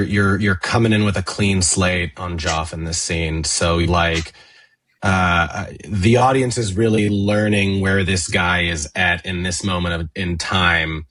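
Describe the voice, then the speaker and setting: A man's voice: deep voice, a bit bright, no room sound. A man on a podcast panel, thoughtfully and hesitantly analysing a story in casual talk. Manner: hesitant, thoughtful, often checking with "right?". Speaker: cast member and podcast panelist.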